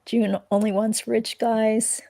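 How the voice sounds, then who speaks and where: A woman's voice: fairly high pitch, flat delivery, slow, creaky, mellow, no echo. A woman hosting a livestream, chatting casually and reading out comments in a giggly, self-deprecating way. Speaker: host of a legal YouTube channel.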